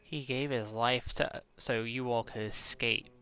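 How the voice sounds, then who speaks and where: A man streaming a game and commenting casually on its dialogue. His voice is bright and forward, with slow, unhurried delivery. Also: medium pitch, steady tone, slight background noise.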